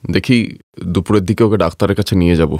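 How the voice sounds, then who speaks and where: A man's voice: sits low, fairly even intonation, quick pace, mellow, dry recording, studio quality, close to an everyday voice. A man voicing a practical, caring husband in a cartoon family story, reasoning in a matter-of-fact way. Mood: concerned. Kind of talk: Bengali moral-story cartoon voice acting.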